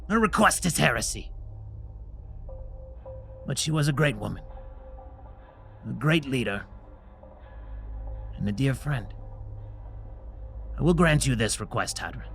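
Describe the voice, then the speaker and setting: A man playing an older herald of a dark god in a fantasy audio drama, solemn, formal and commanding in manner. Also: medium pitch, expressive, a little slow, creaky, long pauses, faint music behind. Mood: solemn, tender. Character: older male herald of a dark god, stern yet merciful.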